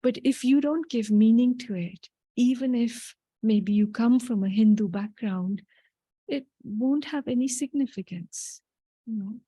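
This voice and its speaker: A woman from Italy gives a guest talk on spirituality with a warm, mellow tone. Her speech is thoughtful and reassuring, dotted with "you know". The sound is close and dry, with no room around it. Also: on the high side, unhurried, breathy edge, no echo. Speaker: guest speaker. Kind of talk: answering audience question in a spiritual talk.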